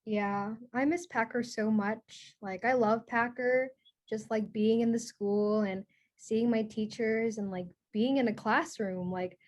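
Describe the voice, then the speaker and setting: A woman's voice: medium pitch, lightly acted. Wistful, casual female student, played by a woman. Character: affectionate, wistful school student. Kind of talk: documentary theater monologue.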